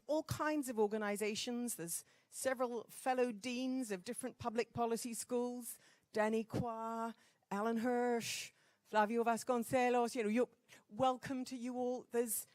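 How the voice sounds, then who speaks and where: A woman dean opening a conference with a brisk, lightly joking welcome. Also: fairly high pitch, dry recording. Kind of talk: welcome address.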